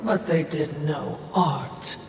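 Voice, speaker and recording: A clever female spirit servant from a fantasy game, wheedling and prim. She draws her words out slowly with snippy deference. The sound is echoey and set back, with noise around it. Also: low voice, creaky, exaggerated voice. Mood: defensive, admiring. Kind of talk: dramatic video game acting. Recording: distant, echoey hall with background noise.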